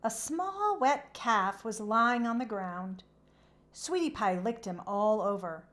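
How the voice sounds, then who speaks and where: Slow, lively woman reading a storybook. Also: on the high side. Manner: measured, animated reading to children. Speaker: children's book read-aloud host. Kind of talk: expressive storybook narration.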